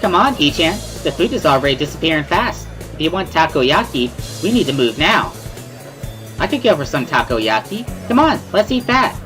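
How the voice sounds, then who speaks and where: A woman voicing a bubbly, excitable, teasing teenage schoolgirl in an amateur visual novel reading. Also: deep voice, moderate pace, faint music behind. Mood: playful urging. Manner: playful, exclamatory, repeats words, laughs loudly.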